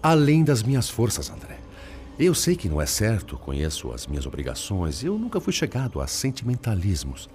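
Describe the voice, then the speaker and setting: A man's voice: on the low side, wildly swooping pitch, a little mellow. An actor with a Brazilian accent playing a sorrowful male spirit, once a principled lawyer, in formal, eloquent radio-drama style. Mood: anguished, ashamed. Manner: formal, eloquent, sorrowful, halting when troubled.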